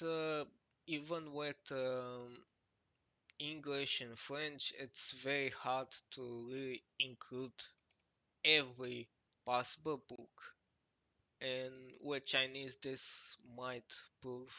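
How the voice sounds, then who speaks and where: A young adult man, a native Romanian speaker, presenting his database project over a screen walkthrough, talking haltingly with many fillers and restarts. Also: mid-range voice, bright, forceful tone, no room sound. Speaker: project creator presenting a website. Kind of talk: screen-recorded walkthrough narration.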